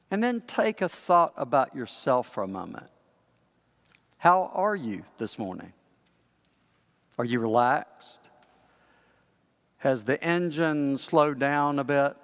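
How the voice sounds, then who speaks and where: An older male pastor with a raspy, hoarse voice, giving a conversational sermon that gently guides the congregation, recorded on a headset mic in a large church sanctuary. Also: mid-range voice. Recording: long church reverb.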